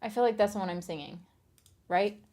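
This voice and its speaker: A woman's voice: medium pitch, a little fast, warm tone. A woman streamer chatting casually on a live stream, reading viewer comments aloud and laughing along.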